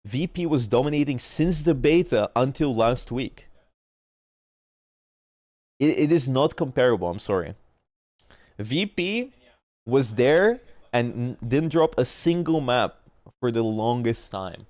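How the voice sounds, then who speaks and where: A man's voice: medium pitch, lively intonation, measured pace, long pauses, darkish tone, no echo, clean studio sound. A man streaming casually, rambling and arguing about competitive teams and often losing his thread, with a stuffy nose. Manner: rambling, argumentative, trails off mid-point. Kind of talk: casual gaming chat.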